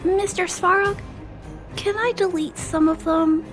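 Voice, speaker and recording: A shy little girl from a video game. Her voice is very high and fairly flat in melody, innocent and polite, with faint music behind her. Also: measured pace. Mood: worried, shy. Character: timid, caring little girl.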